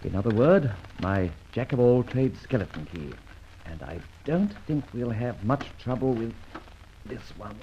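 An actor playing a suave, secretive gentleman adventurer in an old radio drama, with polished, formal, wryly teasing speech. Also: medium pitch, animated, unhurried. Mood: hushed, grave. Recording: muffled old radio recording, background hiss.

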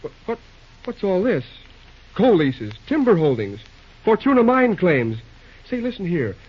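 Young male railroad boss, husky and swooping, exasperated grumbler, vintage radio drama. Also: high-pitched, very animated, unhurried, full character voice. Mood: surprised, probing. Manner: exclamatory, grumbling, stammering. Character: harried young railroad superintendent, excitable and exasperated. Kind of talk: old-time radio serial acting. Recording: dull old radio-show recording.

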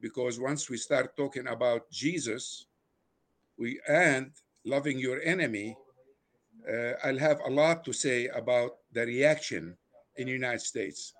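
An older man with an Arabic accent, originally from Lebanon. He talks at a medium pitch in a halting, conversational way, pausing on fillers and restarting his sentences as he recalls his past. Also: fairly bright tone. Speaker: guest. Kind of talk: casual personal storytelling.

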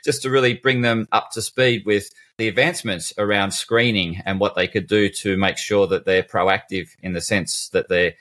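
A man's voice: lowish voice, steady tone, moderate pace, few pauses, fairly bright tone. A man hosting a podcast, introducing and questioning a guest in a wordy, meandering way. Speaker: podcast host.

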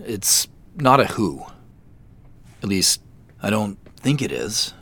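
A furtive male office worker in an audio drama. His talk is slow and halting, with an awkward, casual feel and pitch that moves around a lot. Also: big pitch swings, vocal fry, bright, forceful tone, husky, exaggerated voice. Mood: furtive, musing. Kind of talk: naturalistic audio drama acting.

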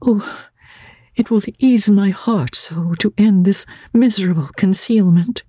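A woman voicing a gentle, earnest young woman, anguished but resolute, with pleading, emotive phrasing, in a literary audiobook. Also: medium pitch, unhurried, breathy edge, no room sound, clean studio sound, pitched above the performer's own voice.

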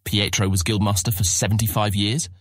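An actor playing a young, skeptical new guildmaster of thieves in a fantasy audio drama, with a dry, questioning, sardonic manner. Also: male voice, fairly low pitch, flat delivery, brisk, a little hiss or hum, natural voice. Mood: questioning, doubtful.

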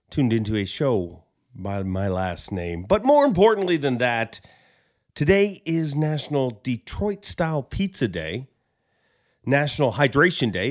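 A man hosting a podcast in a casual, joking, rambling way, his voice a little hoarse. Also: medium pitch. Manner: joking, rambling, self-mocking. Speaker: podcast host.

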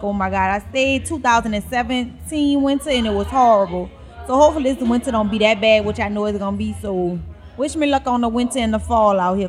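Brash New Orleans woman, higher voice. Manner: brash, joking, slangy. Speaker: podcast guest. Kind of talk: casual banter. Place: restaurant back room. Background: restaurant noise, music.

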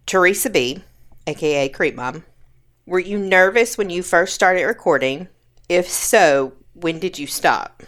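A woman's voice: fairly low pitch, expressive, unhurried, a bit bright. A woman guest on a podcast, reading out listener questions and chatting casually. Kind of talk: casual chat.

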